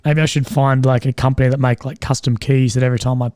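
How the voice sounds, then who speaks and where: A young man with a strained, slightly croaky voice, rambling and swearing as he chats with viewers on a live stream. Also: medium pitch, flat delivery, quick pace, darkish tone, no echo, clean studio sound. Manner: rambling, swears often, laughs at own jokes. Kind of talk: casual live stream chat.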